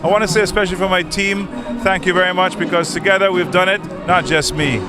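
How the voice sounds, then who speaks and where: A man with a notably high-pitched voice speaks off the cuff as a tour operator being interviewed. Music plays clearly behind him. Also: steady tone, fast. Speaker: tour operator, award winner. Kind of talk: unscripted interview remarks.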